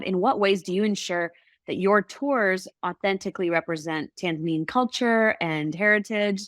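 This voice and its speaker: A woman hosting a podcast, reading a polished intro and asking measured questions. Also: on the low side, fairly bright tone, dry recording, clean studio sound. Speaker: podcast host. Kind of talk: scripted intro and interview questions.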